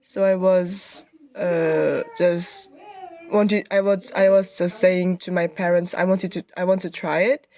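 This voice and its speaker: Young woman, English, slow, halting, lots of fillers, student interview. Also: on the low side, flat delivery, warm tone. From South Korea. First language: Korean. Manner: halting, searching for words with many fillers. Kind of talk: reflective interview answer.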